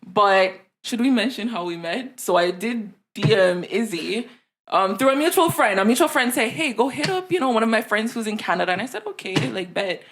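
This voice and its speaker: A woman's voice: medium pitch, animated, fairly quick, a bit bright. A woman in her twenties with a Jamaican accent, co-hosting a casual podcast chat from a small room studio on a desk mic. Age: 20s. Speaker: podcast co-host.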